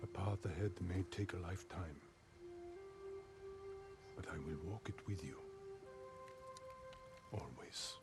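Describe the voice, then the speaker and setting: Stern fatherly samurai uncle, very deep voice, solemn measured delivery, game cutscene under loud music. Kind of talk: video game cutscene dialogue.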